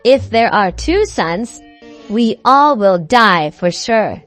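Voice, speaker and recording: Lady as an old frog in a kids fable, worried and earnest, lively pitch, music behind. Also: slow. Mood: worried protest.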